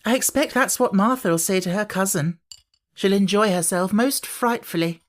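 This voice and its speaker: A woman's voice: mid-range voice, moderate pace, pitched above the performer's own voice, lightly acted. A woman voicing a polite, eager young girl in a storybook, in a well-mannered way. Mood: dreamy.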